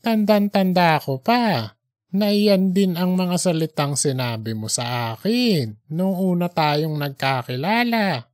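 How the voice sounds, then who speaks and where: A storyteller voicing a kindly, devout old pilgrim who speaks slowly and gently in an audiobook drama. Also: mid-range voice, expressive, no room sound, studio quality. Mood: fond, reminiscing.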